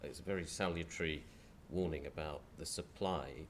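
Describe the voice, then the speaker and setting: A man with a cold, chairing a panel discussion in a lecture hall, speaking in a croaky, hesitant, measured way. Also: very deep voice, fairly even intonation, a little hiss or hum.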